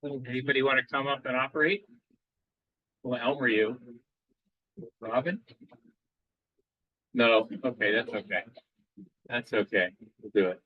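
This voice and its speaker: A man's voice: medium pitch, steady tone, a little slow, long pauses, dry recording, phone-quality sound. A man giving a live demo at an amateur radio club meeting, rambling with frequent restarts and quizzing the audience.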